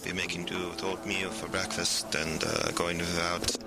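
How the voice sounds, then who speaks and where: A man playing a dry, solemn research director dictating a bureaucratic log in an audio drama, halting and monotone. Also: low-pitched, gravelly, very noisy. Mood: grim.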